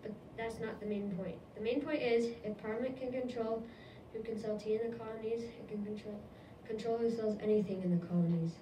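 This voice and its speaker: A woman's voice: a little high, steady tone, a little fast, dark tone, lo-fi sound, slight background noise, natural voice. A woman playing a proud male colonist who earnestly explains and argues, in an echoey room recorded from a distance. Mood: lecturing. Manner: earnest, lecturing, indignant.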